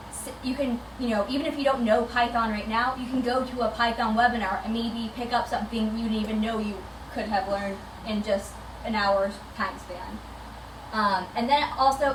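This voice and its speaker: Higher-voiced woman presenting in an echoey room. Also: steady tone, normal speed. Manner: enthusiastic, conversational. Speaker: chapter director presenting. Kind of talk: informal presentation to a meetup. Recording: echoey noisy room, distant microphone.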